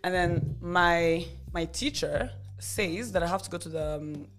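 Lively storytelling woman from Rwanda. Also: on the low side, unhurried, soft background music. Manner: animated, laughs through her story, acts out quotes. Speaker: podcast guest. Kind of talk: casual storytelling.

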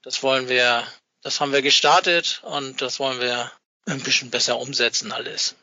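Middle aged guy, really bright sharp voice, kinda muffled lofi audio, halting casual interview chat. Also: mid-range voice, fairly even intonation, dry recording. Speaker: podcast guest, fan club founder. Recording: dull, dry, band-limited remote connection.

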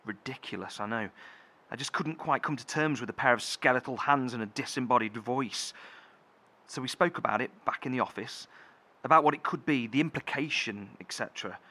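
A dutiful, careful leader of an underground bunker in a horror audio drama. His voice is mid-pitched and forceful, with a measured, responsible manner. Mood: sober, measured.

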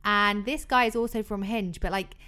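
A woman's voice: mid-range voice, steady tone, normal speed, sharp tone, clean tone. A young woman hosting a podcast, giving blunt, emphatic opinions in casual chat. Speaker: podcast host.

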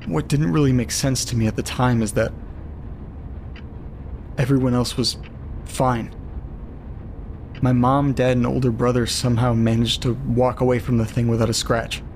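Faint noise sits behind an uneasy, lonely man played by an actor in an audio drama. He reads eerie letters aloud as a monologue, in a middle-pitched voice. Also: darkish tone, natural voice. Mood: somber, recounting. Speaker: narrator.

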